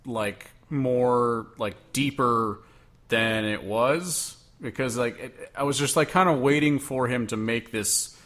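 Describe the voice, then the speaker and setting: A man's voice: medium pitch, slow pace, a bit bright. A young man co-hosting a casual podcast, talking loosely and padding his points with many "like" fillers. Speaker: podcast co-host. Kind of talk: casual chat.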